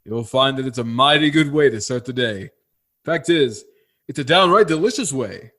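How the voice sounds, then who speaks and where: A man's voice: mid-range voice, expressive, moderate pace, pushed and bright. A man reading a folksy, salesmanlike commercial in the style of a 1950s radio announcer.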